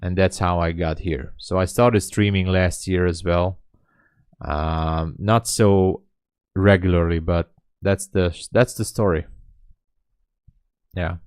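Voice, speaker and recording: A man's voice: sits low, fairly even intonation, slow, pauses often, darkish tone, clear, resonant tone, dry recording, studio quality. A man in his late thirties, a day trader chatting casually on his live stream and answering viewer comments with a candid, self-deprecating manner. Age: 30s. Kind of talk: casual livestream chat.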